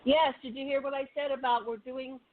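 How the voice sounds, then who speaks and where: A woman host moderating a group video call, brisk and organizing as she keeps time for each speaker. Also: high voice, fairly even intonation. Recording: tinny call audio with faint hiss.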